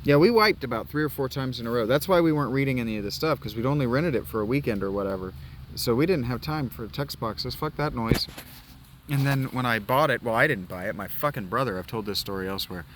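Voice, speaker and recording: A man's voice: mid-range voice, quick pace, slight background noise. Goofy, irreverent let's play man. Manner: goofy, irreverent, swearing, mock-chanting music. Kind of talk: game playthrough with dramatic reading.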